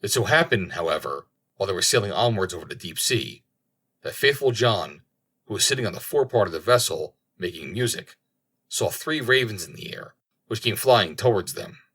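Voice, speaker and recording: Low, husky male storyteller. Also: lively intonation, moderate pace, a bit bright. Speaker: audiobook narrator. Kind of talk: scripted storybook narration.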